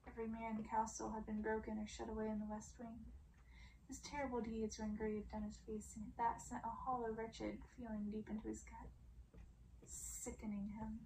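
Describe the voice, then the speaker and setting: A woman reading a story as ASMR in a hushed, deliberate way, often slipping into a soft whisper. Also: on the high side, little pitch movement, slight background noise, low-quality recording. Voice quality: soft, breathy whisper at times. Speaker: ASMR reader.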